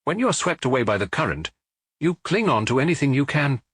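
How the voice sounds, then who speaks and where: Male narrator doing a cagey detective, few words, quietly sure, slightly husky, audiobook, dry sound. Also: medium pitch, very animated, fast, dry recording, voice pushed up. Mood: musing. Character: terse, evasive, pipe-smoking male detective, quietly sure. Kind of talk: audiobook character dialogue.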